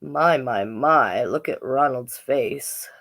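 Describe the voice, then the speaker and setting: Storytime host: woman, low voice, informal and rambling, mixing picture-book reading with loose chat. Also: slow, fairly bright tone. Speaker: drag king storytime host and reader.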